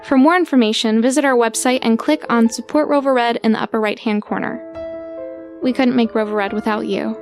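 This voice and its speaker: Female announcer for podcast credits: slightly high voice, scripted delivery, clear music behind. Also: steady tone, brisk, a little mellow.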